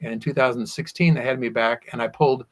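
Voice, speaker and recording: A man's voice: medium pitch, steady tone, fast. A man talking at length as an interview guest, telling personal anecdotes and often acting out his own past words. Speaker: guest, sword swallower. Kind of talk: animated anecdotal interview storytelling.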